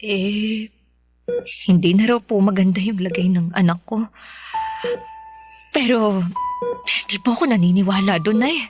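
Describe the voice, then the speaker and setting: A woman's voice: mid-range voice, measured pace, pauses often, close to an everyday voice. A woman playing a devoted, anxious Filipino mother in a radio drama, earnest and emotional. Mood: weary, hopeful. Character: devoted, hopeful, anxious mother of a sick boy. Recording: music bed behind.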